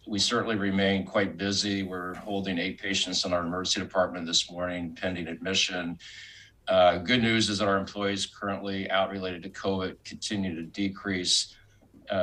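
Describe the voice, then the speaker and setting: A man speaking as a hospital medical officer, giving a measured, formal and matter-of-fact status report. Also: deep voice, pushed and bright, poor audio. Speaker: hospital chief clinical officer.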